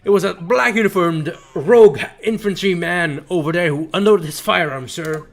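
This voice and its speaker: A man's voice: high-pitched, normal speed, vocal fry, faint music behind. A man voicing a zealous army sergeant from a video game, reporting in clipped, emphatic military bursts. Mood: barking, zealous.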